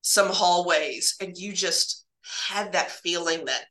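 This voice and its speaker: Woman guest, bright voice, casual halting storyteller of eerie hospital tales, echoey room sound. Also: on the low side. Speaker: guest telling stories of working in hospital laboratories.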